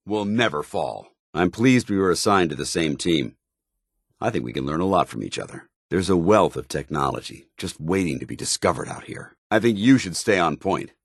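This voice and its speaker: A man's voice: low voice, a bit bright, dry recording, studio quality, heavily acted. A man playing a stern, earnest soldier loyal to his order, speaking formally and firmly as video game companion dialogue. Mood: proud. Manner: formal, clipped, commanding, earnest.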